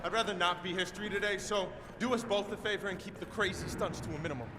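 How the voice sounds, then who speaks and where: High-pitched, bantering navy gunner played by a man. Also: fast, close to an everyday voice. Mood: teasing banter. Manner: bantering, mixes in Spanish words. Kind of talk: video game cutscene acting. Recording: loud aircraft carrier noise behind.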